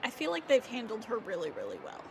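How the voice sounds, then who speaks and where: A woman's voice: on the high side, huge pitch swings, fast, gravelly, very noisy. A woman livestreaming a game, chatting casually and commenting as she plays. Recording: loud game music behind. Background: loud game music.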